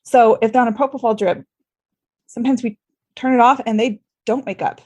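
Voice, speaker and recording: A woman's voice: on the high side, fairly even intonation, a little fast, warm tone. An American woman hosting a conversational medical interview, speaking in an informal and earnest way. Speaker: podcast host and ICU clinician.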